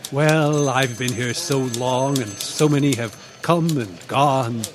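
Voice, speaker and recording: An actor playing a mild, hesitant old newspaper columnist, flustered and deferential, in an old-time radio drama. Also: male voice, mid-range voice, slow, lightly acted. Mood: genial, musing. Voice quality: hesitant, stammering when flustered. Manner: genial, meandering, absent-minded. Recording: live stage recording with background noise.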